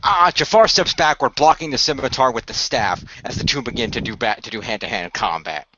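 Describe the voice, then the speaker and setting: A man's voice: medium pitch, lively intonation, fairly quick, bright, forceful tone, dull audio, a little hiss or hum. A man improvising present-tense narration for an amateur radio play, often stumbling and repeating phrases.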